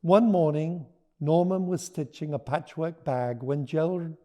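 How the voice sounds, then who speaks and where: A man reading a children's storybook aloud and talking about it, with deliberate, expressive phrasing. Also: a little high. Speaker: children's author reading his book aloud. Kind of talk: expressive storybook read-aloud with teaching notes.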